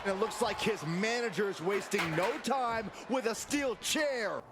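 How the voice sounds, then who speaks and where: A man playing an astonished, excitable wrestling commentator in a video game. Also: high voice, measured pace. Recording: clearly noisy arena commentary.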